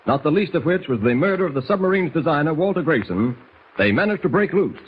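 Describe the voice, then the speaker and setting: A man's voice: on the high side, breathy edge. A man narrating a 1940s radio serial in a theatrical, suspenseful way. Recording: muffled, slightly noisy 1940s radio recording.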